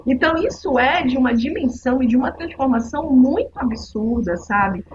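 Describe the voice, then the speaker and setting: A woman's voice: sits high, moderate pace, dull audio, slight background noise. A woman in her forties from Belém with a Paraense accent, telling stories as a guest in a casual podcast conversation. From Belém, northern Brazil. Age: 40s. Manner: storytelling, animated. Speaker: guest, social entrepreneur.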